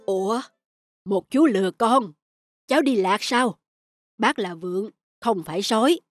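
A woman's voice: medium pitch, measured pace, no room sound, clean studio sound, close to an everyday voice. A woman voicing a kindly older ape in a cartoon, protective and admonishing, with music behind. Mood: concerned warning. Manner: admonishing, concerned. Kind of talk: cartoon voice acting.

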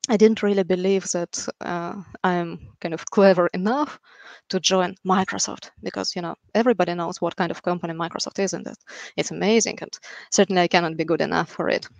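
Female podcast guest, Ukrainian accent, lowish pitch, measured and drily funny, dry headset sound. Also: fairly even intonation, gravelly, no room sound. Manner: dry understated humour. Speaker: podcast guest, software engineer. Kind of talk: measured interview storytelling. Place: room at home, video call. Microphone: headset with boom mic.

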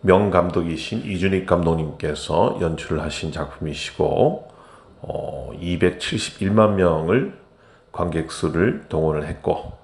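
A middle-aged man walks through a film's story in a relaxed, conversational way. His voice sits very low, and he often pauses on hesitant fillers as he goes. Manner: unhurried, frequent hesitation fillers. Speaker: film narrator and actor. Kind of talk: casual spoken film recap.

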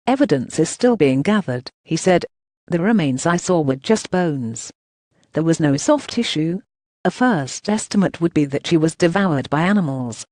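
A text-to-speech man's voice reading news articles in a flat, even way. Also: on the high side, no room sound. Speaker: news reader.

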